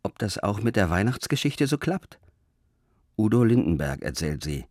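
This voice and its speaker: A man preaching in a scripted, measured and reflective way, with rhetorical questions and deliberate pauses. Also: fairly low pitch, sing-song, a little mellow, dry recording, clean studio sound. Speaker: preacher hosting a religious radio talk. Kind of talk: scripted sermon narration.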